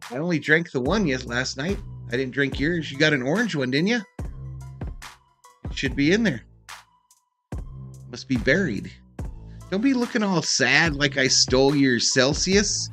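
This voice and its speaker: A man's voice: fairly high pitch, lively intonation, measured pace, pauses often, fairly bright tone, faint music behind. A man hosting a live sports stream, casually calling plays and chatting with viewers in an informal, laid-back way. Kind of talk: casual fan play-by-play and chat reading.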